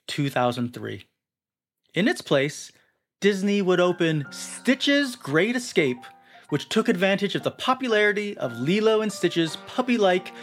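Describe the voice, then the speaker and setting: A young man reading scripted documentary narration with a dramatic storytelling delivery. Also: a little high. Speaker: narrator of a feature article. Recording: music bed under the voice. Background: music.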